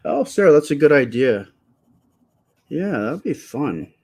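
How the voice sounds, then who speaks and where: A man with a quiet, soft voice chatting casually with viewers during a live stream while he draws. He rambles and stops to restart his sentences, speaking close to the microphone. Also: a little high, big pitch swings, breathy edge. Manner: rambling, halting, with many fillers and restarts.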